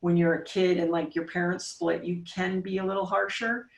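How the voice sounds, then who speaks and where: A woman in her forties, a podcast guest, talking casually with emphatic, coaching punch and quick rhetorical questions. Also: fairly low pitch, level tone, recorded from a distance. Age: 40s. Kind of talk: casual interview talk. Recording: roomy sound, voice off-mic.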